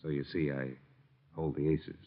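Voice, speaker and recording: A man's voice: extremely low pitch, flat delivery, moderate pace, gravelly. A man playing a smug, sly blackmailing chauffeur in an old-time radio drama, with an oily, mock-polite, insinuating manner. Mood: smug, menacing. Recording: muffled tinny old radio recording.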